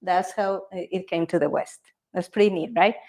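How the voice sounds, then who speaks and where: A woman's voice: fairly low pitch, fairly even intonation, moderate pace, darkish tone. A woman from Ecuador, giving a live workshop presentation as a feng shui consultant. She speaks conversationally and often checks in with the audience through tag questions.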